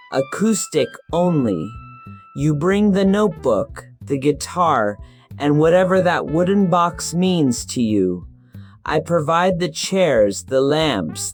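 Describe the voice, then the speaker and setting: Actor as a businesslike man, slightly high pitch, very varied intonation, clipped phrases, audio drama. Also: a little mellow. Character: purposeful, unceremonious man laying out a proposal.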